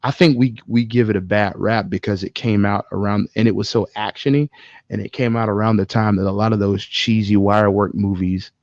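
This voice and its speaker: A man's voice: on the low side, fairly even intonation, fairly quick, a little mellow, dry recording, lo-fi sound. A man podcast co-host chatting casually, opinionated and emphatic, swearing freely as he makes his points. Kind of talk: casual chat about video game movies.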